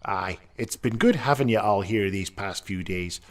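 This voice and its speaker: An actor playing a warm-hearted, weary hero in an audio drama, with a wistful, hearty manner. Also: male voice, fairly low pitch, moderate pace, distant mic, close to an everyday voice. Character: weary warm-hearted Irish mutant hero, grown man.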